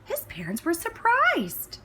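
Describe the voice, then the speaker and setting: A woman reading a children's story aloud as scripted narration, with clear, expressive phrasing. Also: very high-pitched, slow pace, a little hiss or hum, poor audio. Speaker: storybook reader.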